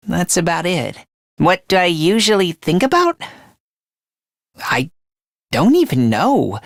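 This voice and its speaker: A man voicing a meek, self-loathing young anime game character in a high, light voice, hesitant and apologetic, stammering as he puts himself down. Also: a bit bright, dry recording, clean studio sound, full character voice. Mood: gloomy, resigned. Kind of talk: anime-style video game voice acting.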